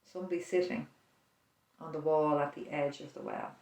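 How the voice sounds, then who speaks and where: A prayer leader guiding listeners through an imaginative prayer, speaking slowly and softly, with reflective pauses. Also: medium pitch, fairly even intonation. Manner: slow, soft-spoken, reflective, with pauses. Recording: roomy echo, distant mic.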